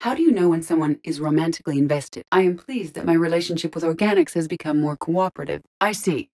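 Low-voiced, precise female android intelligence. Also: mellow. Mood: calm, matter-of-fact. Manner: precise, formal, measured, emotionally restrained delivery. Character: calm, logical female ship artificial intelligence in android body. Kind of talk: scripted video game voice acting.

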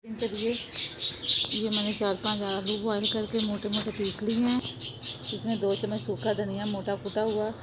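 Woman, calm instructive tone, home kitchen, noisy phone audio. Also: mid-range voice, moderate pace. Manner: calm, instructive, talks while working with hands. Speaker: home cooking channel host. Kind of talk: step-by-step cooking demonstration. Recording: loud background noise, birds chirping.

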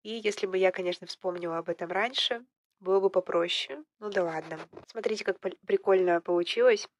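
A young woman with a slightly hoarse voice from a cold, casually narrating her clay crafting at home in a relaxed, self-ironic way. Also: mid-range voice, a little fast, a little hiss or hum. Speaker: vlogger, polymer clay crafter. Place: indoors at home, at a table.

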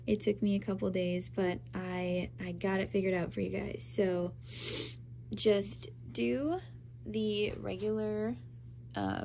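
A woman's voice: mid-range voice, a little mellow, slight background noise. A young woman with a stuffy, congested nose from a cold, chatting through a crochet tutorial in an upbeat, casual way. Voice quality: nasal, congested. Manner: chatty, upbeat, talks over her hands-on work.

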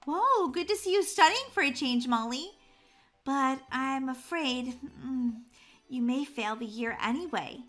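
A woman voicing a calm, gently firm mother in an acted children's family story, speaking in a measured way. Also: high-pitched, soft background music.

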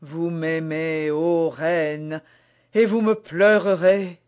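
A man's voice: on the high side, steady tone, extremely slow, a little mellow. A man reading the part of a passionate, melancholy young duke in love, ardent and pleading, in a historical romance audiobook. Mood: exultant, loving.

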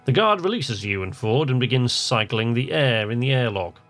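A man's voice: medium pitch, expressive, normal speed, a bit bright, faint music behind. A man giving casual gameplay commentary, talking to himself and reading game text aloud in an easily amused, musing way. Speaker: gamer, video host.

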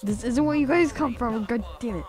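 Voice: mid-range voice, brisk. A teenage streamer chatting casually and informally, with plenty of swearing. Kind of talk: casual livestream chat while drawing. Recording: music playing behind the voice. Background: music.

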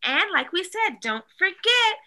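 A woman in an expressive, animated read-aloud style, speaking directly to young children as a teacher would. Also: very high-pitched, unhurried. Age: adult.

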